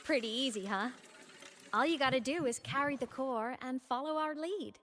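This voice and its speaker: A woman's voice: high voice, moderate pace, a bit bright, long reverb tail, a little hiss or hum. A woman playing a breezy, upbeat young student sidekick in a video game, casual and chirpy.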